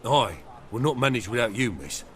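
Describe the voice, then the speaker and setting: A man's voice: medium pitch, sing-song, moderate pace, a bit bright, a little hiss or hum. An actor playing a meek, deferential valet to a noble lady in a video game, with a servile, folksy way of talking. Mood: earnest, worried. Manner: servile, folksy, dropped words. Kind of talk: video game dialogue acting.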